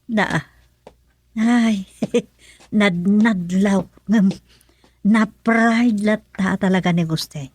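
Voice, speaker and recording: Casting: actress for teasing Ilocano wife, very slow delivery, dry close recording, radio comedy drama. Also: female voice, medium pitch, a little mellow, dry recording. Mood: musing.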